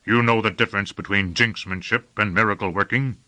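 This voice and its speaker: A man's voice: fairly low pitch, steady tone, fairly quick, pushed and bright. A man voicing a stolid, blunt sorcerer in an audiobook, answering in a curt, cool, matter-of-fact way. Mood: unmoved, blunt. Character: stolid, blunt male jinxman, unmoved by anger.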